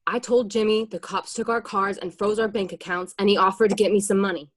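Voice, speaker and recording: A woman's voice: mid-range voice, steady tone, a little fast, lightly acted. A woman playing a mobster's anxious, defensive wife in an amateur read of a crime film script. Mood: urgent, anxious.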